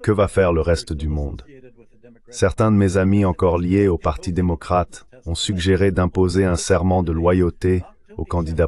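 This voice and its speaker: A man's voice: deep voice, a little mellow. A man's synthetic dubbing voice reading political commentary in a formal, articulate manner. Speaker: voice-over translator for a panelist.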